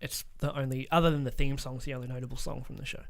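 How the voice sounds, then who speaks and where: A man's voice: mid-range voice, steady tone, very fast, vocal fry. A man co-hosting a casual podcast, chatting in a joking, sweary way as he riffs on made-up scenarios. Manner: sweary, joking, riffing on hypothetical scenes. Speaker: podcast co-host.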